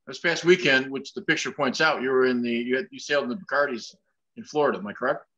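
A man's voice: medium pitch, fast, sharp tone. A man in his sixties hosting an interview over a webcam, asking long, winding, chatty questions. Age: 60s. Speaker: show host. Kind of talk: casual interview. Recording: dull lo-fi sound.

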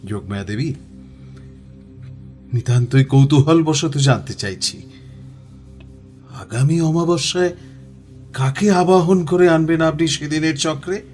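A man's voice: a little high, a little slow, pauses often, darkish tone, faint music behind, natural voice. Man acting courteous, inquisitive ghost investigator. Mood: quiet, courteous. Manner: polite, formal, inquisitive. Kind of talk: Bengali horror audio drama acting.

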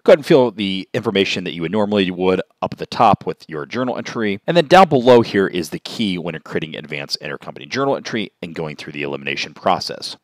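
A man narrating an informal step-by-step software tutorial in an explanatory way, as a voice-over on a close mic. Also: low-pitched, no echo.

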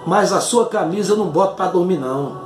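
A man's voice: high-pitched, a little fast, echoey room, faint music behind. An older man from Northeast Brazil with a Northeastern Brazilian accent, a doctor making an earnest, pleading appeal straight to camera from a small home office. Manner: earnest, pleading, gestures broadly with both hands. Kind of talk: impassioned direct-to-camera appeal.

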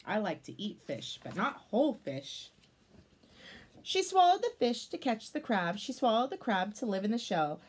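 A woman in her thirties reading a picture book aloud to children, expressive, with exclamations and chatty explanatory asides. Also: a little high, moderate pace, breathy edge.

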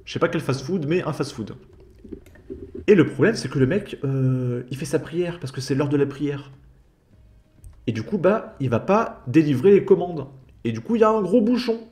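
A man narrating a commentary over clips, dry and sarcastic, with mocking asides. His voice sits a touch higher than most men's. It is a casual voice-over. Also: lively intonation, normal speed, darkish tone. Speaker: commentary channel host.

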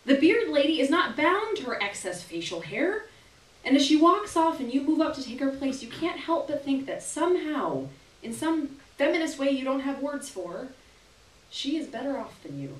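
A young adult woman performing a short story aloud in a live, expressive literary reading with deliberate pauses. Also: high voice. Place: small gallery room, live stage reading. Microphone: stand microphone, recorded by distant camera. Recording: roomy, distant camera audio with hiss.

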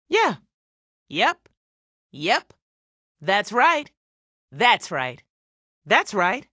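An actress voicing a big, brawny, jovial gem blacksmith in an animated video game, with a punchy, folksy swagger. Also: female voice, fairly high pitch, breathy edge, no echo, studio quality, exaggerated voice. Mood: triumphant.

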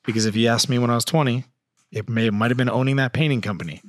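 A man in his thirties as a podcast guest, telling stories animatedly with restarts and trailing phrases, at a boom mic in a studio. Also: steady tone, racing. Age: 30s. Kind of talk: casual podcast chat. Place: dim studio set on a couch. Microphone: boom mic, headphones.

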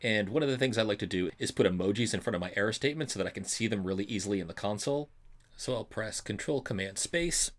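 A man with a fairly low voice presents a programming screencast as an instructor. He explains each step in order, stresses the important terms and reassures the learner along the way. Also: animated, quick pace. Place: voice-over for a screen recording.

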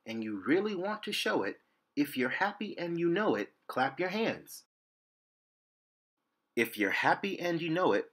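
A man in his thirties reads a children's picture book aloud in a rhythmic, sing-song way. His voice is bright and animated, with a touch of huskiness. He was recorded indoors by a camera a few feet away. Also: a little high, slightly rough. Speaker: storytime reader. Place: indoor room.

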